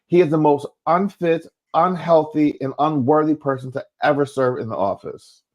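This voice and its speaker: A man's voice: fairly high pitch, moderate pace, muffled recording. A man co-hosting a casual talk stream, speaking bluntly with cutting remarks. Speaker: co-host. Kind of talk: casual opinionated chat.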